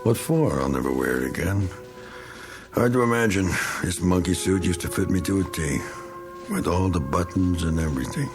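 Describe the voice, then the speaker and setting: An actor playing a bitter, self-pitying middle-aged American war veteran in a radio drama, talking in a folksy, rambling, needling way. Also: male voice, low-pitched, animated, moderate pace, over music. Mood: nostalgic, self-pitying.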